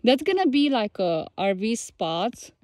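A woman's voice: fairly high pitch, big pitch swings, a little slow, darkish tone, no room sound. A woman co-hosting an outdoor walking tour of a tree farm, chatty and enthusiastic, with loose, non-standard phrasing. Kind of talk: casual walking tour vlog.

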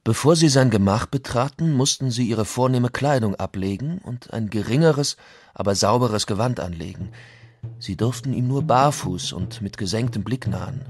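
A man's voice: medium pitch, normal speed, a little mellow, breathy edge. A man reading the part of a Spanish conquistador giving his account of what he witnessed. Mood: solemn. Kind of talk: dramatic reading of historical accounts.

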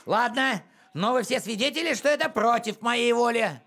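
A man's voice: extremely high pitch, lively intonation, normal speed, creaky, fairly bright tone, full character voice. An actor playing a fussy, self-important middle-aged village postman in a grumbling, pompous manner for a cartoon. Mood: grumpy, defensive. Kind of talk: animated cartoon acting.

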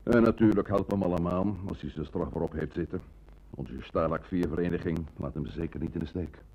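An actor playing a sardonic, easygoing small-time crook and ex-convict in a Dutch radio play, with a gravelly voice. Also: male voice, sits low, fairly quick, darkish tone, highs cut off. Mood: wry, needling. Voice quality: gravelly, husky.

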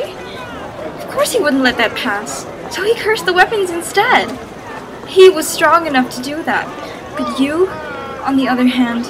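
Protective older sister in fantasy audio play female actor, high voice, chatty and scolding, Filipino accent, background noise. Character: bossy, protective teenage big sister, magician's apprentice. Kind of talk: fantasy audio drama acting. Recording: noisy with street crowd ambience.

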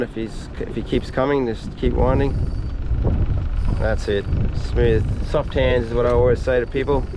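Brief: Australian charter guide, middle-aged, relaxed and instructive, recorded on an action camera in a boat, with clear outdoor noise. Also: male voice, medium pitch. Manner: encouraging, instructive, laid-back. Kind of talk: casual on-water coaching. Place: small boat on open water outdoors.

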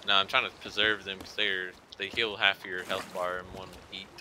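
A man's voice: sits low, flat delivery, normal speed. A man chatting casually with a friend during a game, joking with some mild swearing. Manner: joking, mildly profane. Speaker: gamer playing with a friend. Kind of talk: casual gaming chat. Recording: background game noise of sea and boat.

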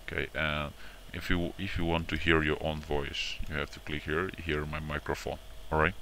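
A man with a very low, fairly monotone voice walks through a software tutorial step by step. He repeats his instructions and checks in often. The sound is sharp, with faint background noise. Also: normal speed. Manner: repetitive, instructional, often checking with "all right" and "okay". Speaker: tutorial presenter. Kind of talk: informal screen-recorded software tutorial.

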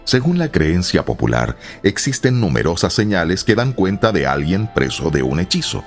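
A man's voice: low-pitched, big pitch swings, normal speed, faint music behind. A man giving scripted, explanatory radio narration as a presenter.

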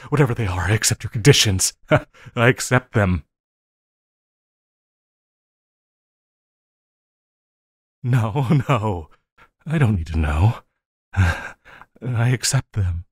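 A man playing an obsessive, lovesick supervillain in an audio roleplay, needy and melodramatic, with halting, stammering delivery. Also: on the low side, breathy edge, no room sound, studio quality, exaggerated voice. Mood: grateful, eager. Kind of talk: intimate audio roleplay acting.

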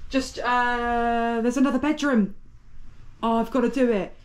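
A young British woman hosting an exploring vlog inside an abandoned house, chatting excitedly and exclaiming often. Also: fairly high pitch, a little slow. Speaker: urban explorer host. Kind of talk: casual exploring vlog chat.

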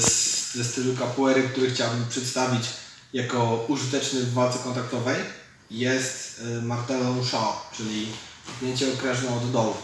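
A man, explaining martial arts techniques informally as an instructor while demonstrating them. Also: mid-range voice. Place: large indoor MMA cage gym. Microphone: camera several metres away. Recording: echoey gym, distant mic, some noise.

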